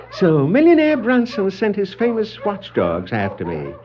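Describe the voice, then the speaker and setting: High, swooping clownish master criminal. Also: male voice, very noisy, full character voice. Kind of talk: 1960s children's record dramatization of a comic book. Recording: muffled 1960s vinyl record.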